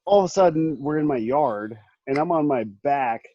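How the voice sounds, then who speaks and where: A man in his late thirties with a slightly high voice, hosting a loose group conversation. He rambles and restarts his sentences, laughing easily. The sound is dry and dull, like a lo-fi home setup. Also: expressive, normal speed, no echo. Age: 30s. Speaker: podcast host. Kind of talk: casual group chat.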